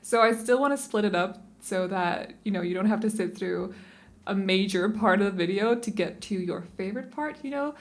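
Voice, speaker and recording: A young Danish woman, not a native English speaker, talks casually over her speed build video, rambling and often correcting her own word choices. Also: medium pitch. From Denmark. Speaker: game building channel host.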